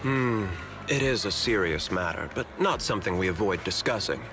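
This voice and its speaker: A man playing a shrewd, candid corporate manager in a video game, speaking in a polished, measured, explanatory way. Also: low-pitched, expressive, over music. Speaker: corporate executive and security head. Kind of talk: scripted video game dialogue.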